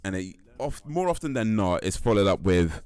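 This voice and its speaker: A man's voice: on the low side, big pitch swings, normal speed, darkish tone, smooth, no echo. A young man from the UK, chatting casually on his livestream, laid-back and often laughing, with lots of fillers and repeats. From United Kingdom. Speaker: livestream host. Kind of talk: casual chat with stream viewers.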